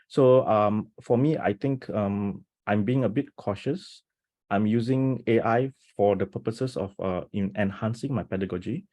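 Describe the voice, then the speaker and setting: A man presenting as a teacher on a video call webinar, talking with energy and many restarts, often checking in with "right?". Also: lowish voice. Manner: animated, talks fast with many restarts and rhetorical "right?". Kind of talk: enthusiastic webinar talk.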